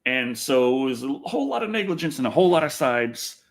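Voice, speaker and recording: A man hosting a casual livestream chat, rambling and halting, often repeating his phrases and speaking bluntly. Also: mid-range voice, very animated. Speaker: podcast host.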